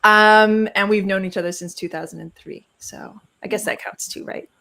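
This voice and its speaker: A woman in her thirties co-hosting a video chat from home, excitable and chatty as she shares personal news. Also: medium pitch, normal speed, gravelly. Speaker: co-host. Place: home, indoors, on a video call.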